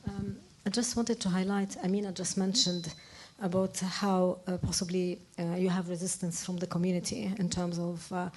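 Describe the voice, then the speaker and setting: Woman, slightly low voice. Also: flat delivery, normal speed, few pauses, darkish tone, slight background noise. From Lebanon. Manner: opinionated, self-correcting, non-native phrasing. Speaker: audience member, architect. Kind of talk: spontaneous comment at a public discussion. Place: arts centre event room.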